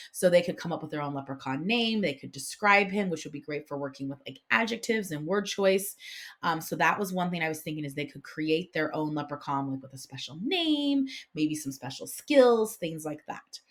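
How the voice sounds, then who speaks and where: Low-pitched woman, casual teacher vlogger. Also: lively intonation, normal speed. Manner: informal, explanatory, conversational. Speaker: classroom teacher and video host. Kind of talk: casual instructional vlog talk about picture books.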